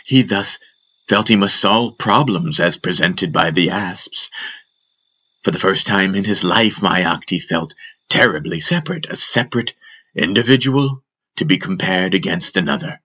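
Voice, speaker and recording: A man narrating a fantasy audiobook as a dramatic storyteller, solemn and deliberate. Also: low voice, pushed and bright, husky, poor audio.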